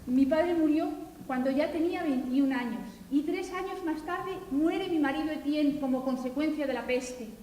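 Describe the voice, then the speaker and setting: A woman plays a widowed medieval writer who recounts her hardships in a measured, earnest way. Her fairly high voice comes from a school stage, heard through a distant camera with long hall reverb. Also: warm tone, a little hiss or hum, natural voice. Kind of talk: amateur stage acting. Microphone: far camera. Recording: echoey hall recorded from far off.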